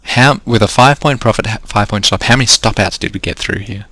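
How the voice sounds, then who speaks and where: Aussie guy explaining trades on slides, kinda low voice, dry close sound, voice going a bit. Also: brisk, bright, forceful tone, no room sound. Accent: Australian. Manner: explanatory, walks through numbers step by step. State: losing his voice. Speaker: trading coach. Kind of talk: screen-recorded lesson.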